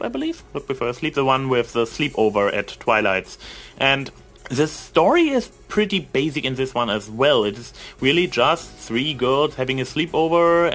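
Rambling, halting man over music. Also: mid-range voice, fairly bright tone. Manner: halting, searching for words, repeats and rephrases himself. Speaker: reviewer giving opinions on fan fiction. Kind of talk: unscripted rambling commentary.

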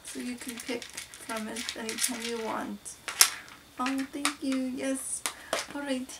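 A woman's voice: a little high, fairly even intonation, takes it slowly, gravelly. A woman voicing a sweet, shy, eager monster doll girl in an ASMR role play, using a put-on foreign accent and simple broken English. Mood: grateful, eager. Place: indoor room, seated on floor. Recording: noisy distant mic with crinkling.

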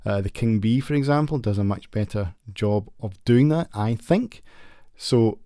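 Low-voiced man, dry close studio mic. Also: unhurried, dark tone, dry recording. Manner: plain, unpolished, self-deprecating talk with fillers. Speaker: tech reviewer. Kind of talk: casual product review. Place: small home studio, indoors. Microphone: large studio condenser mic close up, headphones on.

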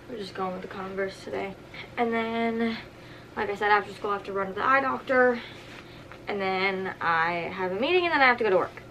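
A woman's voice: medium pitch, a bit bright, slight background noise, rough recording. A young woman with a stuffy nose, chatting casually to camera in her apartment, with lots of fillers. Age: young adult. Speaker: vlogger. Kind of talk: casual vlog chat. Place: small apartment, indoors.

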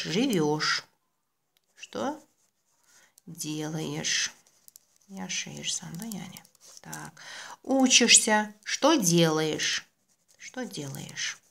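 A woman teacher with an Azerbaijani accent, giving a lesson to children and repeating words slowly while she writes at a desk. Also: on the low side, gravelly, a bit bright. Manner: switches between two languages, repeats words slowly while writing. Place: indoors at a desk, camera over a workbook.

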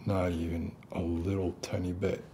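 A man in his thirties doing an ASMR roleplay as an unstable, friendly loner, soft-spoken and rambling in halting mutters. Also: sits low, level tone, slight background noise. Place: basement-like room with concrete walls.

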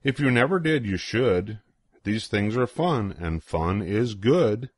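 A man's voice: fairly low pitch, lively intonation, takes it slowly, husky, no room sound, clean studio sound. An older man reading a children's rhyming picture book aloud in a playful, sing-song way with swooping emphasis. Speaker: storybook reader.

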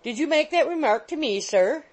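A woman voicing an indignant, quarrelsome middle-aged landlady, in a loud scolding tirade. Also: medium pitch, big pitch swings, normal speed. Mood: furious. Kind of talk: audiobook character voice.

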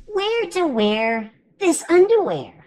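A woman voicing a playful little boy bear cub in a storybook read-aloud, with excited, wide-swinging exclamations. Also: extremely high pitch, slow pace, faint music behind, full character voice.

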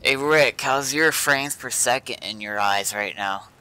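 A man talking casually and haltingly with fellow players in an online roleplay game. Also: medium pitch, pushed and bright, smooth. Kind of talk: casual gaming chat with in-character roleplay.

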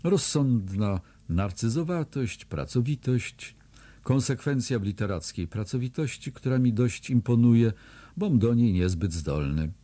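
A man's voice: mid-range voice, lively intonation, a little slow, warm tone. A man reading diary entries aloud as an audiobook narrator, with a dry, sardonic delivery that lands hard on the insults. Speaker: audiobook reader.

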